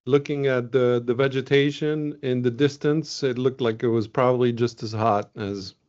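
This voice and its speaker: A man from Venezuela presents results in a loose, chatty webinar, full of ums and restarts. His voice sits at an ordinary middle pitch. It comes through a video call with dull, muffled audio. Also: steady tone, normal speed, darkish tone.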